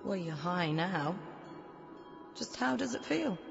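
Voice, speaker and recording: A woman plays a sardonic, blunt officer of an afterlife patrol in a radio play. Her pitch swings freely as she questions with pointed sarcasm. The sound is dull and lo-fi, with music underneath. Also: expressive, slow, gravelly, heavily acted. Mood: challenging. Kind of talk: audio drama acting. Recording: dull lo-fi audio drama recording. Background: background music.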